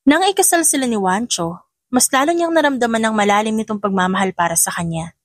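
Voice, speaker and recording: A Filipino woman narrates dramatic romance fiction. Her voice sits fairly high. The sound is dry, with very little room around it. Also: expressive, quick pace, no echo. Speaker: audio story narrator.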